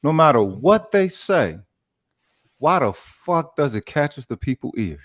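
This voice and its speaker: A man's voice: on the high side, sing-song, measured pace. A man on an online panel who argues emphatically and swears a lot, repeating words and phrases for stress. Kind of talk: casual online panel discussion.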